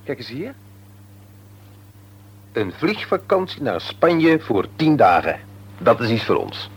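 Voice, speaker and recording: An easygoing, hopeful married shopkeeper in a Dutch radio serial. His voice is husky and mid-pitched, and he speaks in a natural, conversational way with a touch of wryness. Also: big pitch swings, takes it slowly, heavily acted.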